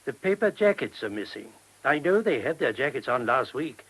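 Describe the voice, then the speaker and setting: An actor playing a polite, slightly puzzled monk in a radio play, courteous and tentative. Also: male voice, mid-range voice, big pitch swings, moderate pace, a bit bright, breathy edge, full character voice, poor audio.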